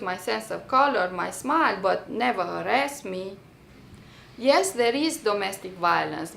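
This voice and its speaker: Female reader, Romanian accent, gravelly fry, deliberate personal-testimony delivery, echoey room. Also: mid-range voice, fairly bright tone. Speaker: writer reading own work. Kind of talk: dramatic reading of a personal testimony essay. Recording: roomy echo, faint background noise.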